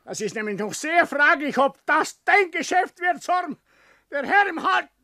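A man's voice: very high voice, very animated, unhurried, bright, forceful tone, no room sound, clean studio sound, heavily acted. An actor with a Bavarian accent playing a stubborn, ailing, domineering old father and company boss in a 1960s German radio drama, blustering and grumbling. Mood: indignant. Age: older. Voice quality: short of breath, coughing at times.

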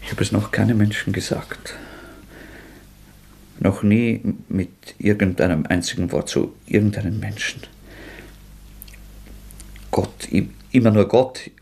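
An actor playing a tormented, defiant young man confessing to murder in an agitated, anguished German radio drama performance, with a husky voice that often breaks. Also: on the low side, darkish tone, full character voice. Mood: tormented, scornful. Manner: agitated, anguished, halting outbursts.

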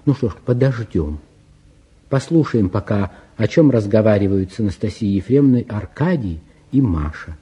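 An actor as a reflective storyteller narrating a 1950s Soviet radio play, speaking to listeners in a measured, confiding way. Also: male voice, lowish voice, lively intonation, dark tone, breathy edge. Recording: dull, muffled 1950s radio recording.

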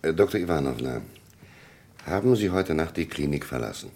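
A man acting a probing, deliberate police investigator in a German radio crime drama, with a gravelly, husky voice and pointed questions. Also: very deep voice, animated, darkish tone, full character voice. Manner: questioning, hesitant pauses, then pointed.

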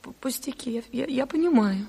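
A silly, affected bourgeois lady in an old radio play, gushing and gossiping with coy giggles. Her voice is breathy and swoops widely, ringing in a long studio reverb. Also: sits high, animated, brisk, mellow, heavily acted. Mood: horrified, flustered. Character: coquettish, naive, gushing bourgeois lady seeking Party membership. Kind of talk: theatrical Soviet radio drama.

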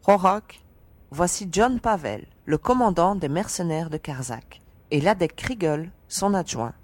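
A young female mercenary commander played by an actress in a science fiction audio drama. Her voice sits a little low, and she speaks with composed, respectful confidence. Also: expressive, measured pace, no room sound. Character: confident young woman, mercenary defense leader, respectful yet teasing.

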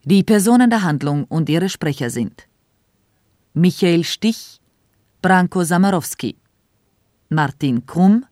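A woman's voice: on the low side, a little mellow, dry recording, clean studio sound. A woman announcing the cast and credits of a radio play in a formal manner. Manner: slow, formal.

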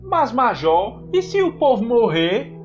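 A woman's voice: a little high, very animated, takes it slowly, slightly rough, lo-fi sound, faint music behind, exaggerated voice. A woman playing a timid, deferential small-town mayor in a radio play, hesitant before a boss. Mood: pleading.

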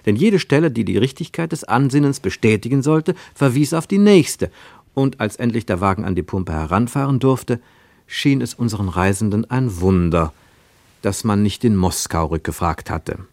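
A man reading scripted literary narration for a radio play in a measured, precise and expressive storytelling manner. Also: fairly low pitch, dry recording.

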